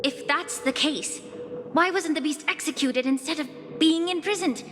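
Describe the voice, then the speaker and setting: A woman's voice: high voice, normal speed, close to an everyday voice. An actress playing a polite, earnest young female investigator in a video game cutscene, speaking formally and precisely. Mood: troubled, questioning. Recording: long reverb, loud music behind.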